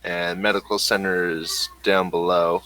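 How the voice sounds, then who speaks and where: A man running a tabletop game as game master, describing scenes slowly while he works them out. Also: low-pitched, flat delivery, bright, forceful tone.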